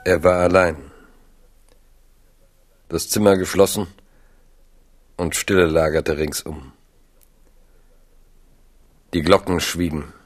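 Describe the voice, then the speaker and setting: A man narrating a literary radio drama in a grave, measured way. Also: low voice, flat delivery. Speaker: story narrator.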